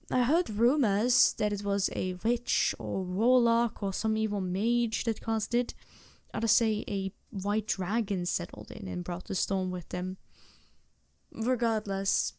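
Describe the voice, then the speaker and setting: A woman's voice: medium pitch, darkish tone, no echo, studio quality. A woman playing a polite, anxious vampire posing as a traveling merchant in a fantasy audio roleplay, speaking hesitantly with small stammers and courteous apologies. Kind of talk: fantasy roleplay audio drama, addressing the listener.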